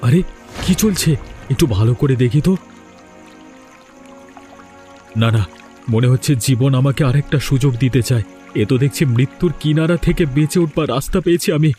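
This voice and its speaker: A man's voice: mid-range voice, fast talker, warm tone, soft background music, natural voice. A man with a West Bengal accent voicing a poor, life-weary village father in a cartoon, lamenting melodramatically. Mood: astonished, hopeful. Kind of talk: cartoon voice acting.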